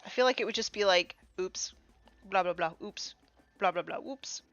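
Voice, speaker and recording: A woman chatting casually on a painting live stream, a little congested from allergies, muttering soft asides as she works. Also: mid-range voice, moderate pace, soft background music. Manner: soft muttering asides, trailing off mid-task. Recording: slightly dull, dry sound.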